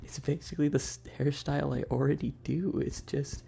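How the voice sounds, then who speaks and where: Mellow man with a heavily creaky voice. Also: on the high side, fairly even intonation, brisk, a little hiss or hum. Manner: slangy, joking banter with chat. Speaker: livestream host. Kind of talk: casual livestream chat, ASMR streamer.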